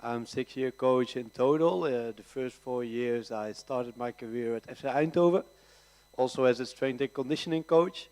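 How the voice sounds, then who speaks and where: Young man, brisk and plain. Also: mid-range voice, darkish tone. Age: 20s. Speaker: strength and conditioning coach. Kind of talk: press conference self-introduction.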